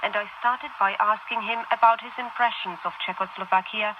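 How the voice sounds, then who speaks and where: Loud shortwave noise surrounds a woman of middling pitch. She presents a radio feature, reading a prepared introduction to an interview. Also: steady tone, a little fast, phone-quality sound. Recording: very noisy shortwave radio reception.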